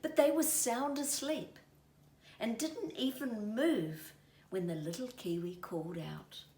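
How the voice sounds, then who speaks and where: A woman reading a children's story aloud in an expressive, deliberate way. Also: fairly high pitch. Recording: roomy, distant mic, faint noise.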